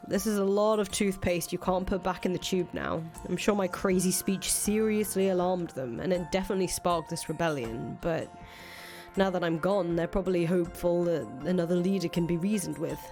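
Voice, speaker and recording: A woman's voice: lowish voice, moderate pace, darkish tone, lightly acted. A woman playing a cynical, foul-mouthed ousted rebel leader in a visual novel, thinking aloud in casual, profane speech. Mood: wry, brooding. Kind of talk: acted reading of visual novel dialogue. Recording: music under the voice.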